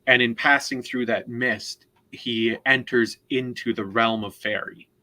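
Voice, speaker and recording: A man co-hosting a casual literary discussion, explaining things in a halting, deliberate way and often repeating words. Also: medium pitch, fairly even intonation, sharp tone, low-quality recording. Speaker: co-host, literary commentator.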